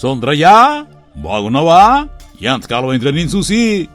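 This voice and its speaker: A man playing a greedy, smooth-talking middle-aged villager who schemes, speaking colloquial rural Telugu in a moral story. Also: medium pitch, very animated, moderate pace, soft background music, lightly acted. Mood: crafty, hearty. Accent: Telugu rural dialect.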